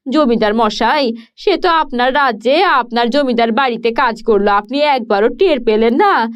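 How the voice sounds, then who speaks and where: This is a grieving cartoon villager, voiced by a woman. She laments in a high voice, and the audio is dull and lo-fi. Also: moderate pace, clean tone, close to an everyday voice. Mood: lamenting. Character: grieving villager, sad and talkative.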